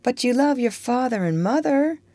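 A woman portrays a frail, loving little girl from a storybook. Her voice sits high and lilts widely, tender and earnest with sadness, in a dry, intimate recording. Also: animated, normal speed, mellow, no echo. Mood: pleading.

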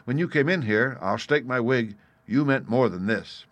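A man voicing a composed, shrewd gentleman doctor in an audiobook, measured and courteous in manner. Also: mid-range voice, dry recording, pitched above the performer's own voice, close to an everyday voice. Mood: shrewd, probing. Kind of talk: audiobook character voice.

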